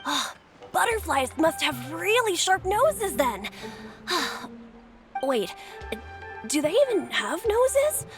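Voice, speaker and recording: An actress voicing a curious, naive young woman in a game, wondering and slow-spoken. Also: high voice, big pitch swings, over music, heavily acted.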